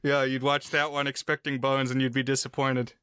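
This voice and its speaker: Podcast co-host with a bright, clean voice on a dry close mic, wry and easygoing. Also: male voice, medium pitch, little pitch movement, fairly quick, smooth, no echo. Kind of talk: casual chat, recapping a film.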